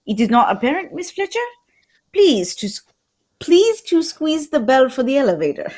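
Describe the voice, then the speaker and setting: A fussy Belgian detective in an old-time radio mystery played by a woman, shrewd and courtly. The voice sits high and moves expressively up and down. Questions and deductions come out formal, deliberate and theatrical. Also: big pitch swings, a little mellow. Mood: precise, courteous.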